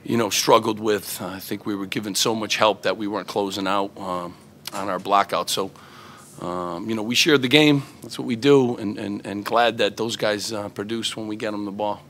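A man's voice: on the low side, fairly even intonation, normal speed, a bit bright, a little hiss or hum. A middle-aged man, a head basketball coach, answering reporters at a postgame press conference, earnest and full of fillers and restarts, into table microphones.

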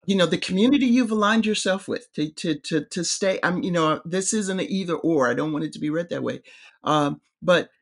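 Halting middle-aged woman, searching for words. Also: on the low side. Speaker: seminary professor. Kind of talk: casual theological discussion. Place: home office. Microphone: video call.

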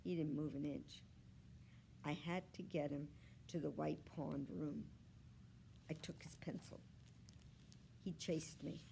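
A woman voicing an earnest, fretful pet dog who tells his own story, in expressive, confiding storybook narration. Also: deep voice, takes it slowly, vocal fry, pauses often, a little hiss or hum, full character voice, rough recording.

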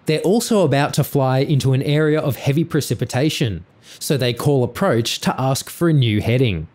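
A man's voice: mid-range voice, animated, normal speed, a little mellow. A man reading scripted explanatory narration for an aviation incident video.

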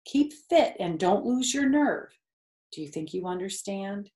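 A woman voicing a calm, motherly female spider in a children's storybook, reassuring, collected and gently instructive. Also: mid-range voice, measured pace, close to an everyday voice. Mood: gently firm. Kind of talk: read-aloud children's storybook. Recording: roomy, echoey indoor sound.

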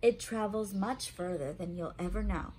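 A woman's voice: mid-range voice, normal speed, a little hiss or hum. A woman in her thirties hosting story time for young children, speaking expressively and simply, as if straight to a child, in a room at home. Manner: expressive, simple child-directed talk with questions. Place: small room at home, indoors.

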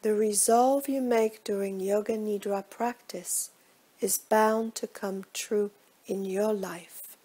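A woman guiding a relaxation meditation in a soft, breathy voice, with measured, hushed delivery and gentle phrase endings. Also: medium pitch, fairly even intonation. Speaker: yoga nidra meditation guide. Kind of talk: guided relaxation meditation, scripted instruction.

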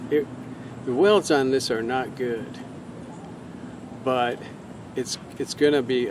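A middle-aged man casually explaining a simple welding job, talking slowly with many restarts. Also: mid-range voice. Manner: slow, rambling, full of restarts and fillers. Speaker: hobby welder. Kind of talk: casual how-to demonstration. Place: outdoors in a parking lot and garage driveway. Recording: outdoor street noise behind.

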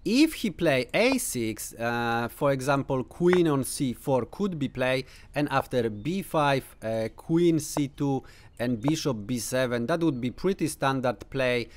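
A man's voice: medium pitch, animated. A man in his thirties with a Polish accent, a native Polish speaker, casually commentating a chess game to camera from a room at home. He talks unhurriedly with lots of "uh" fillers and playful asides. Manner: unhurried, thinking aloud with many uh fillers, playful teasing asides. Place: home room with bookshelves, indoors.

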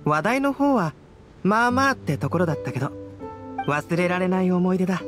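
An actor playing a gentle, curious young scholar in a video game, measured and thoughtful. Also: male voice, high-pitched, big pitch swings. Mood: calm, pleased. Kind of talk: Japanese video game voice acting. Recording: studio voice over guitar game music.

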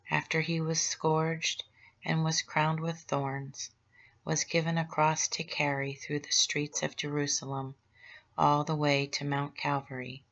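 Lady doing a sad gentle holy mother, slow, low flat voice, kinda muffled recording. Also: vocal fry, heavily acted. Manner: tender, slow and solemn. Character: gentle grieving mother of Jesus, middle-aged woman. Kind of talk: devotional meditation read aloud.

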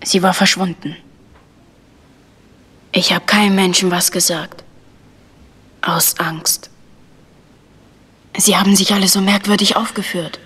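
A woman playing a frightened, secretive village boy in a German radio crime drama, hushed and hesitant. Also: mid-range voice, little pitch movement, a little slow, long pauses, breathy edge, big-room sound.

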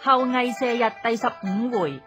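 Lively Hong Kong Cantonese female announcer over music. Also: fairly high pitch, normal speed. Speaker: radio announcer. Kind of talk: scripted credits announcement. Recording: music clearly behind.

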